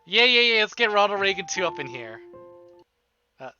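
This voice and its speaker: Excitable male livestreamer, slightly high-voiced, over music. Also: moderate pace. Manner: excitable, playful, profane, exclaiming. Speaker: livestream host. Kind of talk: casual streaming chat between visual novel readings. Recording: dull lo-fi stream audio under music. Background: prominent music.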